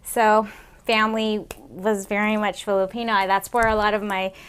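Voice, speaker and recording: A woman's voice: medium pitch, little pitch movement, normal speed, smooth. A woman in her late thirties from Seattle, a comedian guest chatting casually in an interview, rambling with lots of fillers. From Seattle, Washington, USA. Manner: rambling, chatty, many "like" and "you know" fillers.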